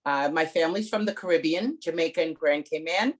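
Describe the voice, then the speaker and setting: Woman with a low voice on a video call. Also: a little fast. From Connecticut. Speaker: entrepreneur.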